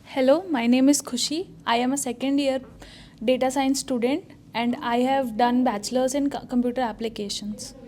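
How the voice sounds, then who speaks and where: High-voiced young woman student. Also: steady tone, moderate pace, darkish tone, a little hiss or hum. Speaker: student guest.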